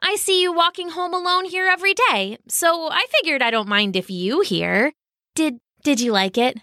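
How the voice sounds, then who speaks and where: A woman voicing a shy, polite female tortoise for a children's story, gentle but firm. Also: high voice, lively intonation, moderate pace, no room sound, studio quality, voice pushed up, exaggerated voice. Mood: pleased, friendly.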